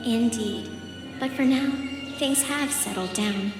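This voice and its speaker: A wise, serene woman gives measured, solemn counsel as a game guide. Her fairly high voice echoes over loud music. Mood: consoling. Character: serene, cryptic female guide, wise and consoling. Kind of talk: video game character acting. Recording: echoing reverb, loud music behind.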